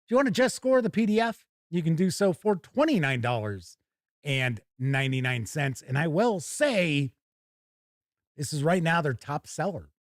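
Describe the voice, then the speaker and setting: A man hosts a gaming livestream in a fairly high voice with very lively, swinging intonation. He moves between casual chat and reading news, with folksy, wry asides. The sound is dry, with no room echo. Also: moderate pace. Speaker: host of a live tabletop gaming news show.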